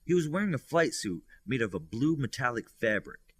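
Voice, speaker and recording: A man's voice: medium pitch, very animated, normal speed, husky, no room sound. A man reading fiction as an audiobook narrator, in a scripted storytelling style. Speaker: audiobook reader. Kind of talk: scripted fiction narration with lifted character dialogue.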